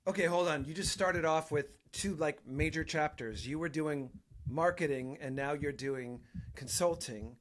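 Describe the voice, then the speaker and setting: A man's voice: on the high side, a little slow, slight background noise. A man hosting a podcast interview, chatting casually with a playful, joking manner. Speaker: podcast host.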